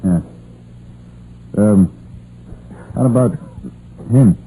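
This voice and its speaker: An actor playing a steady, grim police inspector in an old radio crime drama, with measured, sombre delivery. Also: male voice, on the low side, a little hiss or hum, rough recording. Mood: careful, questioning. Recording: vintage 1950s radio broadcast, music behind.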